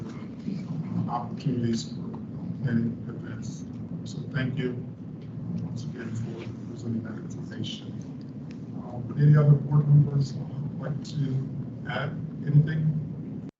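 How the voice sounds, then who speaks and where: A man chairing a board meeting who speaks haltingly, with many ums and uhs. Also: mid-range voice, little pitch movement. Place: large meeting room. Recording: echoey, distant, dull, noisy meeting stream.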